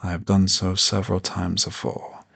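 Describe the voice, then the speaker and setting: Audio roleplay: male dragon professor, man acting, ancient and condescending, deep gravelly growl, pompous formal diction. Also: completely flat delivery, normal speed, a bit bright, heavily acted. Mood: condescending, softening. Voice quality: gravelly, husky low voice. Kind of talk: scripted audio roleplay monologue addressed to the listener.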